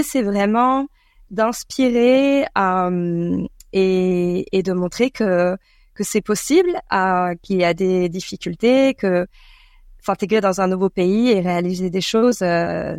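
A woman from France with a French accent, answering interview questions conversationally and thoughtfully, often pausing mid-sentence. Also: medium pitch, smooth, no echo, clean studio sound. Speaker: podcast creator being interviewed.

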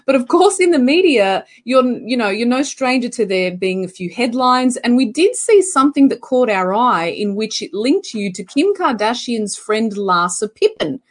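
A woman's voice: on the high side, very animated, moderate pace, hardly any pauses. Upbeat woman hosting an interview. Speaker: interview host.

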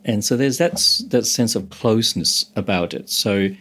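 Low-voiced meditation teacher from Hong Kong. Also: male voice, normal speed, fairly bright tone, husky. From Hong Kong, moved to Australia as a teenager. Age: 50s or older. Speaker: meditation instructor, interview guest. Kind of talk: explanatory interview talk.

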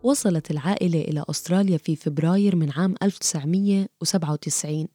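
Woman narrating a doc, warm low-key voice, reads fast and formal, soft music under. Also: dry recording, clean studio sound. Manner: measured formal reading. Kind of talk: scripted documentary narration.

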